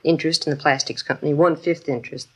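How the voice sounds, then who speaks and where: A woman's voice: deep voice, steady tone, very fast, breathy edge. An American actress playing a flustered, genteel, trusting woman shopkeeper in a 1950s radio drama, polite and fretful. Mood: trusting, troubled.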